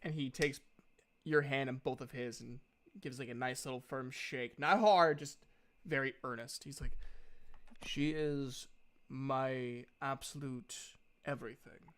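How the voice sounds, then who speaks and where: A man's voice: medium pitch, moderate pace, fairly bright tone. A man acting as game master, improvising narration for a tabletop roleplaying game.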